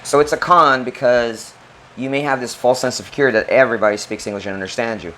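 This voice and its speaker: A man's voice: mid-range voice, fast talker, pushed and bright, slight background noise, low-quality recording. A man from the Philippines, co-hosting a casual chat and explaining his points step by step. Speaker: co-host.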